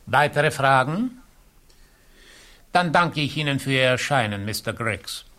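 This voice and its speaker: A male chairman presiding over a hearing in a radio drama. His tone is sharp and forceful at an average pitch. He is formal and procedural. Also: animated, a little slow. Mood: official. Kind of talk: radio play dialogue.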